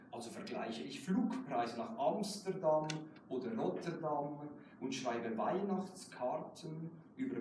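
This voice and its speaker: A male poet from Switzerland whose first language is German addresses a live reading. His voice sits at an average pitch and reaches us from a distance. The room is strongly echoing, with clear noise behind him. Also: dark tone. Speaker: guest poet. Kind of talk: poetry reading with casual asides. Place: indoor live poetry event. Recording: roomy echoing hall, distant mic, noisy.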